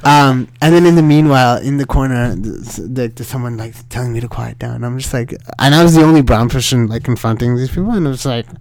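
A young man from Sri Lanka telling a story in casual conversation, animated and rambling, with many fillers and restarts. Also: mid-range voice, fast talker, hardly any pauses, dry recording.

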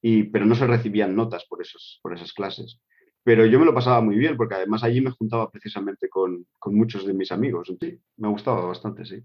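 A man's voice: lowish voice, a little fast, slightly rough. A middle-aged man who grew up in Switzerland answers interview questions casually, hesitating with many fillers and restarts. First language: Spanish with German. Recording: dull lo-fi recording.

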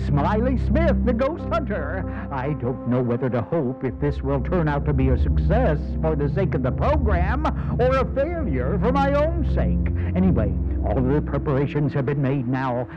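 Showy old-time radio ghost hunter, animated. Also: male voice, on the high side. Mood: uneasy showmanship. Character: showy male radio broadcaster hunting ghosts. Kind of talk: 1940s radio announcing in a drama. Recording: old radio recording, loud music behind.

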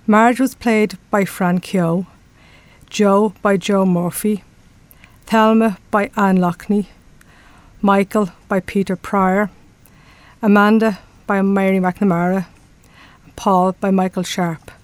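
A woman's voice: medium pitch, steady tone, slow pace, long pauses, dry recording. A woman with an Irish accent reading a scripted announcement as a radio announcer. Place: radio studio.

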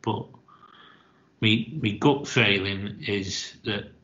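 Casting: male panelist, low forceful voice, terse, muffled line. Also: extremely slow. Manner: terse, blunt answers. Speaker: panel tipster. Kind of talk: casual panel chat.

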